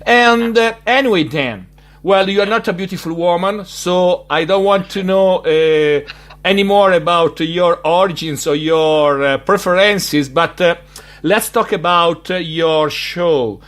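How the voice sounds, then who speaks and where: A man's voice: high-pitched, unhurried, fairly bright tone. An Italian man with an Italian accent hosting a casual interview, speaking hesitantly with many fillers. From Italy. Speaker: podcast host.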